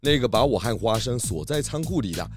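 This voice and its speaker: A man's voice: mid-range voice, sing-song, brisk, over music, natural voice. A man playing a confident pig detective explaining a case in a children's audio drama. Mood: accusing.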